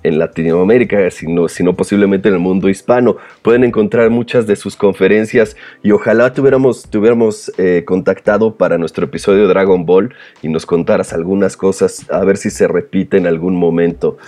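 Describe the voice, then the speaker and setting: A man's voice: deep voice, fairly even intonation, a little fast, few pauses, mellow. A man with a Mexican accent, speaking as a podcast host in a conversational, analytical and somewhat hesitant way. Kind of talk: conversational commentary with prepared points.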